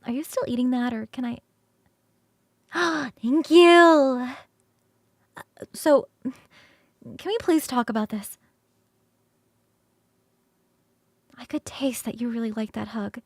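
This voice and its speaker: A high, girlish succubus roommate, played by a woman in an ASMR roleplay, recorded close and dry. She is affectionate and playful, coaxing the listener with expressive, halting delivery. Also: no room sound. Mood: teasing, pleased. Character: affectionate, playful succubus roommate, young-sounding, eager to cuddle. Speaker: streamer performing scripted roleplay.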